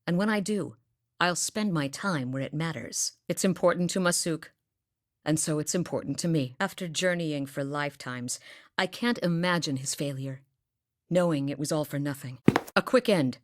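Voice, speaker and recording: An actress voicing a steady, blunt woman hunter from a far northern village, with a matter-of-fact manner and dry humor, in a studio game recording. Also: low voice, moderate pace, no echo, natural voice. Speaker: game character voice lines. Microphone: close studio mic.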